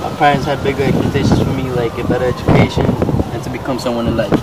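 A man from Ecuador, a native Spanish speaker, telling his story in measured, prepared-sounding interview answers. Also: medium pitch, little pitch movement. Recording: loud traffic noise behind.

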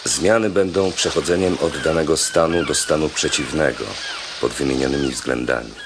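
An actor playing a learned, deferential slave philosopher to a Roman governor in a radio play, measured and didactic. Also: male voice, extremely low pitch, background noise. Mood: measured lecturing. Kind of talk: Polish radio play acting.

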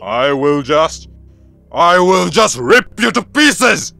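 An imperious cartoon lion tyrant, speaking slowly in a forceful, sharp voice. He is pompous and threatening, a furious ruler of the jungle, with music behind him. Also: a little high, very animated, gravelly, no room sound, heavily acted. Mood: roaring rage. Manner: pompous, menacing, growling threats. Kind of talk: animated cartoon acting.

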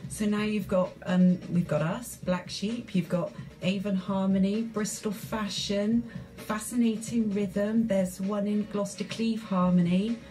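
A woman's voice: mid-range voice, steady tone, unhurried, mellow, soft background music, rough recording. A woman, a guest on a radio show, explaining her chorus enthusiastically and fluently. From England. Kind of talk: radio interview. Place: community radio studio.

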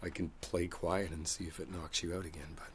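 A man playing a gentle, reassuring boyfriend in an intimate ASMR roleplay, speaking softly and without hurry. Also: sits low, close to an everyday voice. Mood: tender, reassuring. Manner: soft, unhurried, soothing. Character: gentle, reassuring musician boyfriend coaxing partner to sleep. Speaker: roleplay audio performer. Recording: steady background noise, rain sounds. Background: rain ambience.

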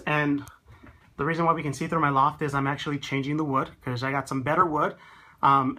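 A man with a slightly high voice gives a casual, chatty tour of his half-built tiny house and points things out as he goes. He talks into a handheld phone camera inside a small wooden room. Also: sharp tone. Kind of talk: casual walkthrough vlog.

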